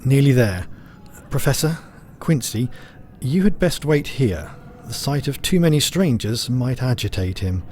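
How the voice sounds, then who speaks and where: A man's voice: medium pitch, very animated, measured pace, darkish tone. An actor playing a courteous, resolute male asylum doctor, formal and commanding, in an audio drama. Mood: reassuring. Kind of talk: theatrical audio drama acting.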